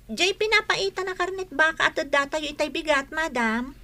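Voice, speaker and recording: An actress playing a humble, patient, forgiving young housemaid in a melodramatic radio-style drama. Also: female voice, high-pitched, expressive, normal speed, sharp tone, full character voice. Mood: dutiful.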